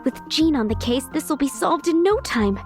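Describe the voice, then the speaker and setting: A woman's voice: high voice, animated, moderate pace, a little mellow. An earnest, polite townswoman. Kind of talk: game voice acting. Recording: game audio with faint music.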